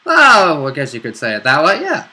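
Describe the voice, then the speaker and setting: A man's voice: fairly high pitch, wildly swooping pitch, moderate pace, pushed and bright. A man chatting casually as a player discussing a role-play game. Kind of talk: casual chat.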